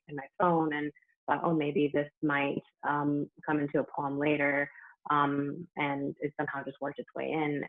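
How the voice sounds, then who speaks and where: A woman's voice: low voice, gravelly. A woman poet chatting casually in an online reading Q&A, with many ums and you-knows. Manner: relaxed, full of "um" and "you know". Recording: tinny phone-like call audio.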